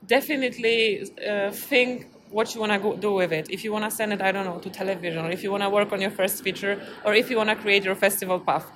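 A woman's voice: medium pitch, a bit bright. A woman speaking in a casual interview, thoughtful and searching for words as she talks. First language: not English. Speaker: festival programmer and jury member, interview guest. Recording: clearly noisy background ambience.

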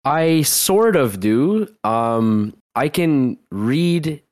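A man's voice: mid-range voice, lively intonation, drawn-out words, darkish tone, dry recording, clean studio sound. A man in his twenties, a guest telling a personal story in a casual interview, rambling with lots of restarts. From Canada. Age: 20s. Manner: rambling, heavy use of "like", frequent restarts.

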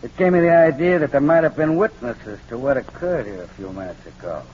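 An actor playing a menacing, gloating gangster with a mock-polite, leisurely manner in a 1940s radio crime drama. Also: male voice, on the high side, lively intonation, brisk, darkish tone, husky. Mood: smug menace. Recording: dull, noisy old radio recording.

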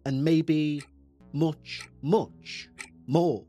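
A man with a Liverpool accent hosting a podcast, reading true-crime narration in a measured, dramatic way. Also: on the high side, steady tone, warm tone, dull audio, soft background music. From England. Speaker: podcast host.

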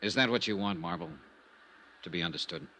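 An actor playing a shrewd, hard-boiled insurance investigator in a 1950s radio detective drama, clipped, dramatic and wry. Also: male voice, on the low side, very animated, fairly quick, gravelly, sharp tone, slight background noise, full character voice, poor audio. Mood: low, wry.

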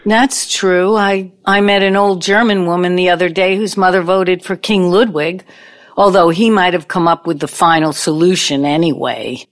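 A woman's voice: on the low side, fairly even intonation, normal speed, lightly acted. An actress playing a bitter, incredulous ex-girlfriend, blunt and sardonic, in an audio play. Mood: defensive, wry. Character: bitter, incredulous middle-aged ex-girlfriend, a pianist.

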